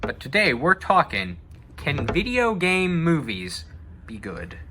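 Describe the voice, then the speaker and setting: A man hosting a casual film discussion, talking in a halting, conversational way. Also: a little high, big pitch swings, noisy background. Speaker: host.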